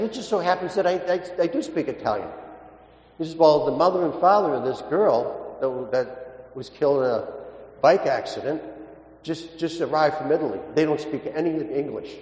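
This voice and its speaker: Priest preaching in an echoing hall. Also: male voice, mid-range voice, a little mellow, slight background noise. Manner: storytelling, halting with repeated words, emphatic on key points. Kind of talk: sermon with anecdotes and gospel teaching. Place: large reverberant indoor space. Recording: echoey, reverberant church-like hall.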